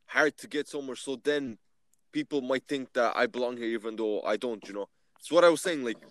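Earnest man, quick. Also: medium pitch, no room sound. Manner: earnest, fast, leans on "like". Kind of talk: casual discussion.

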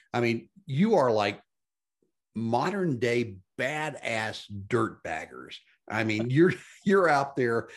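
A man hosting a podcast, asking long, winding questions with enthusiastic slang. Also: mid-range voice. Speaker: podcast host, interviewer. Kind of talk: casual interview questions.

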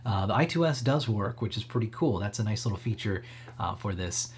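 A man with a slightly husky voice hosting a casual live tech demo, thinking aloud in a rambling, conversational way. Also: fairly low pitch, expressive, a little fast. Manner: conversational, rambling, frequent hedging asides. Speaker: livestream host demonstrating electronics projects.

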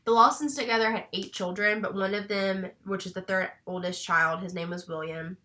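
A young woman from North Carolina co-hosts a true crime podcast, her voice bright and clear but stuffed up from a cold. She talks in a chatty, rambling, self-deprecating way, at an average pitch. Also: fairly even intonation, normal speed. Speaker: podcast co-host.

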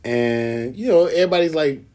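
A man's voice: fairly high pitch, fairly quick, a little mellow. A man, co-hosting a casual chat and telling laid-back stories full of acted-out quotes. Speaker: podcast co-host.

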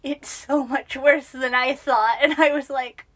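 A young woman co-hosting a podcast, chatting casually with a naturally high voice and a self-deprecating manner, sometimes dropping to a whisper. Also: fairly even intonation, a little slow, a bit bright. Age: young adult. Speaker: podcast co-host. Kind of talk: casual chat.

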